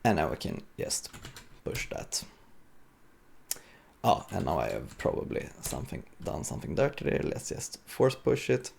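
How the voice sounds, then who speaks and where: A man's voice: deep voice, sing-song, moderate pace, vocal fry, darkish tone, slight background noise. A man giving an informal technical demo at a conference, thinking aloud with some hesitation as he goes. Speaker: software developer giving a conference talk. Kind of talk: live coding demo, technical presentation.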